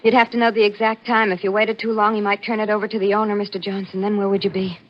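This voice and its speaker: A woman's voice: medium pitch, flat delivery, quick pace, a little hiss or hum, natural voice. An American actress playing a housekeeper wife who coolly plots with her crooked husband, clipped and insistent in 1940s radio thriller style. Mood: wary, questioning. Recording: muffled vintage radio recording.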